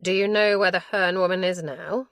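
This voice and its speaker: A ruthless former police chief turned crime boss, as a woman narrator puts him on, giving clipped orders in a low, cold voice. The audiobook reading is close and dry, with no room around it. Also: steady tone, normal speed, a bit bright, no echo. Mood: probing.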